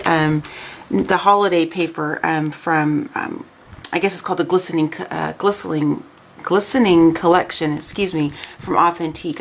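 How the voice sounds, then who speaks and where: Casual craft host, woman, hoarse and raspy, low gravelly tone, rambling with fillers. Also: slight background noise. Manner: chatty, many ums and restarts. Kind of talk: casual craft show-and-tell.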